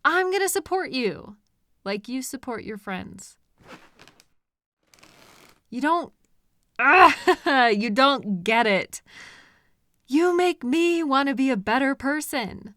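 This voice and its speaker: A woman playing a possessive, sweetly menacing stalker in an intimate roleplay audio drama, coaxing softly with lingering pauses. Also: high-pitched, animated, no room sound, studio quality, full character voice. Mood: devoted, coaxing.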